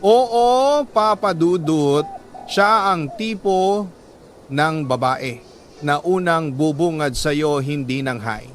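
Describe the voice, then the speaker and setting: A man with a Filipino accent reading a scripted first-person story like a radio storyteller, earnest and measured, with dramatic pauses. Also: fairly high pitch, faint music behind. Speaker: radio-style storyteller reading a letter sender's love story.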